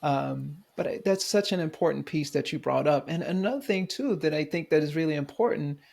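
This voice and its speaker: Gravelly-voiced man hosting a podcast. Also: fairly high pitch, a little mellow. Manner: slow, halting, affirming. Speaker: podcast host. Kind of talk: conversational interview.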